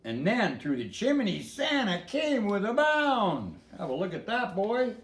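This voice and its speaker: A man's voice: sits high, wildly swooping pitch, a little slow, a bit bright, roomy sound. An older man reading a storybook in verse in a folksy way, with casual asides to a listener.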